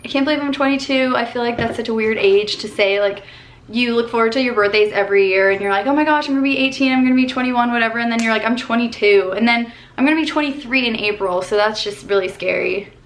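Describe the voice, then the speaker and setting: A woman's voice: on the high side, fast. Chatty young woman in a bedroom. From Colorado. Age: 20s. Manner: chatty, upbeat, lots of "like" and "um". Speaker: vlogger. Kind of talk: casual Q&A vlog. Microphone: camera at desk distance.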